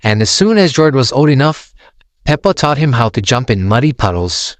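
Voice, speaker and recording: A man narrating a children's storybook aloud in an expressive, sing-song way, close to the mic. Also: mid-range voice, normal speed, no echo.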